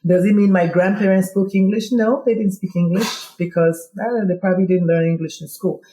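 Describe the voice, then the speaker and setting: A middle-aged woman from Nigeria, Igbo her first language, talks through her life in a relaxed interview, bringing each moment alive with quoted dialogue. Her voice is mellow and warm, at a middle pitch. The recording has a roomy echo around her. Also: a little fast. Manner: animated, quoting herself in dialogue. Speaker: guest. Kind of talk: casual interview storytelling.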